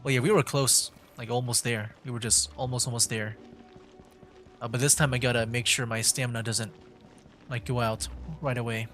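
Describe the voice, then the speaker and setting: A man with a medium-pitched voice gives casual commentary on a game he is streaming. He rambles and thinks aloud with hesitant phrasing, over faint game music. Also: fairly even intonation, normal speed, a bit bright. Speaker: game livestreamer.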